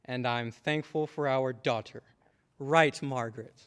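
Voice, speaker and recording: A man playing a stern but affectionate father in an old-time radio drama, with a measured, slightly pompous manner. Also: mid-range voice, steady tone, clear, resonant tone, close to an everyday voice. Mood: fond reminiscing. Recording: reverberant hall.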